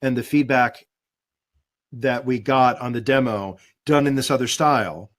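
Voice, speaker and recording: A man who is a guest voice actor, speaking in a thoughtful, relaxed way over a video call in a casual webinar chat. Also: medium pitch, unhurried. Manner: thoughtful, relaxed, with many fillers. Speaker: guest voice actor and demo producer.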